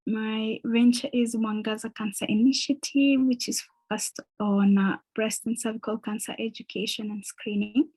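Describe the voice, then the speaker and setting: A woman's voice: on the high side, steady tone, moderate pace, few pauses, a little mellow, poor audio. A woman from Kenya, introducing herself thoughtfully with hesitant fillers on a video call. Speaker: social entrepreneur.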